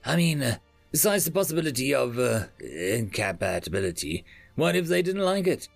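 A man's voice: medium pitch, sing-song, normal speed, gravelly, dry recording, soft background music. A man voicing a talkative, nervous male head chef in his late fifties in an audiobook. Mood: nervously emphatic. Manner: emphatic, chatty, hesitant. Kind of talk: audiobook character dialogue.

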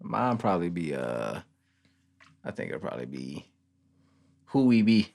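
A man co-hosting a casual podcast, playful and quick to chime in. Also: deep voice, big pitch swings, gravelly, darkish tone. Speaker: podcast co-host. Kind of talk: casual chat.

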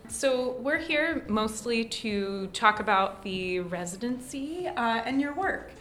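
A woman hosting a podcast, asking questions in a relaxed, chatty way with frequent "like" fillers. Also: on the high side, takes it slowly, soft background music. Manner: friendly, chatty, with many "like" fillers. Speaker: podcast host. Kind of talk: casual podcast chat. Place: studio space indoors.

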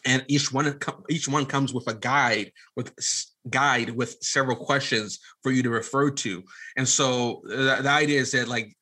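Podcast guest, male, late twenties. Bright, punchy delivery, conversational and emphatic, with frequent restarts and repeated words. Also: mid-range voice, fairly even intonation. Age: late 20s. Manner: halting, repeats phrases and restarts often, emphatic. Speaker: podcast guest, media app founder. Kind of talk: conversational interview answer, explaining a venture.

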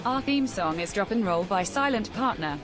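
A woman reads a scripted podcast outro in a steady, even listing tone. Her voice sits at a middle pitch, and clear guitar music plays underneath. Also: normal speed. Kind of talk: scripted read-out.

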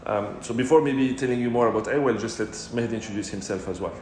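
A man's voice: medium pitch, fast, darkish tone, roomy sound, slight background noise. A man from Morocco, a startup co-founder, telling his career story fluently as a podcast guest. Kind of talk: casual interview.

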